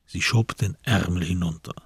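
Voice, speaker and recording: An actor as the grave narrator of a crime radio play, reading scripted prose in a gravelly, husky voice. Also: male voice, sits low, moderate pace, dry recording, studio quality, full character voice.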